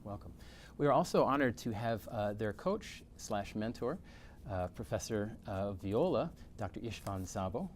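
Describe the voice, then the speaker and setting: A man's voice: lowish voice, lively intonation, moderate pace, a little hiss or hum. A man hosting an interview as a music professor. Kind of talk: hosted interview.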